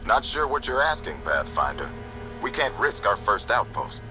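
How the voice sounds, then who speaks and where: A man's voice: on the low side, very animated, moderate pace, over music. A man playing a cautious, earnest colony outpost leader in a video game, serious and burdened. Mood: concerned.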